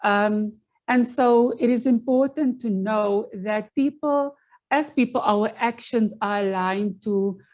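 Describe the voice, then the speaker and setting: A woman with a South African accent, Afrikaans her first language, giving an earnest, measured talk over a video call from home, with frequent fillers and restarts. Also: fairly high pitch, a little mellow. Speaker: panel presenter. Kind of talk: online conference presentation.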